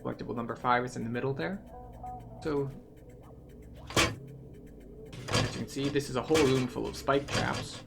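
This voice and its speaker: A man with a noticeably creaky voice walks viewers through a video game. He explains each step methodically, like a tour guide, while music plays clearly behind him. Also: medium pitch, fairly even intonation, normal speed, pauses often.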